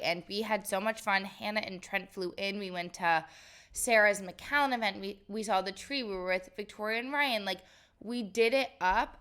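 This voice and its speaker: A woman co-hosting a casual podcast with a stuffy, nasal, raspy voice from a cold, chatting in a bubbly way and tripping over her words. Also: mid-range voice, a bit bright. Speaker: podcast co-host. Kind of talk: casual chat.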